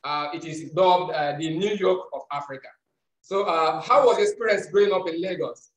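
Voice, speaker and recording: A man's voice: fairly high pitch, moderate pace, echoey room, rough recording. A Nigerian man hosting an interview in casual chat, with many hesitant fillers and restarts. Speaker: host.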